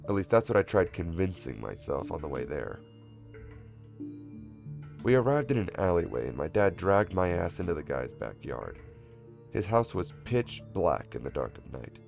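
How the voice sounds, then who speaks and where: A man with a gravelly voice, reading a scripted first-person horror story in a dramatic way. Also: low voice, sing-song, normal speed, darkish tone, soft background music.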